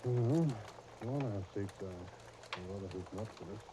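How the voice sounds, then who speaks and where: An actor playing a worried, gentlemanly middle-aged uncle in a 1930s radio serial, speaking formally with some hesitation. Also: male voice, lowish voice, close to an everyday voice. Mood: measured concern. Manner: measured, hesitant, formal phrasing. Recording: noisy old 1930s radio disc recording.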